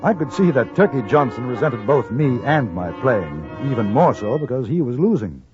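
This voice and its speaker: A man performs a well-spoken English journalist in a fifties radio western. His medium-pitched voice moves expressively while staying courteous and measured. The old recording is dull, with music behind. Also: sing-song, lightly acted. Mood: measured narration. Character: polite, reserved English newspaperman narrating frontier adventures. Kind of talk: 1950s radio drama narration and acting.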